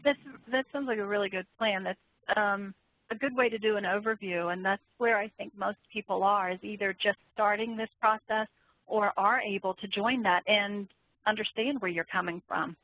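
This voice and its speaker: A woman moderates a panel Q&A, fielding questions for her guests. Her voice sits at a medium pitch. It comes through a dry, phone-like line with narrow bandwidth. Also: fairly even intonation, normal speed, no echo. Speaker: webinar moderator.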